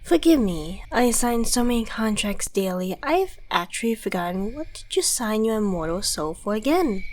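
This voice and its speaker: A woman's voice: mid-range voice, very animated, normal speed, darkish tone, lightly acted. A woman voicing a smug, menacing devil in an ASMR roleplay, with silky, theatrical, condescending politeness. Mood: mocking, condescending. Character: suave devil dealmaker, courteous, smug and menacing. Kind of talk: ASMR audio roleplay monologue.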